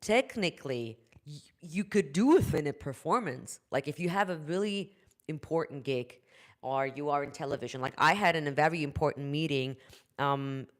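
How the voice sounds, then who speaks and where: A German-accented woman, a vocal coach, talking casually to camera about singing. She speaks in a chatty, looping way, restarting and repeating words and tagging her points with quick "right?" checks. English is her second language, and it shows in her phrasing. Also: deep voice, animated.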